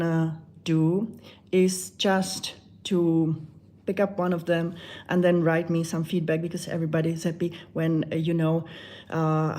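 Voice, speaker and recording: Soft-spoken Czech woman, low mellow voice. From Czech Republic. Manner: soft, hesitant, many fillers. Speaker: meditation channel creator. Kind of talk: live stream chat.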